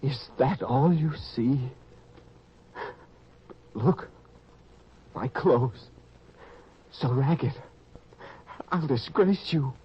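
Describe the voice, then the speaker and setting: A man's voice: medium pitch, very slow, long pauses. A man playing an ashamed, ragged wanderer come home, contrite and tense, in old-time radio drama style. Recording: muffled lo-fi sound, faint noise.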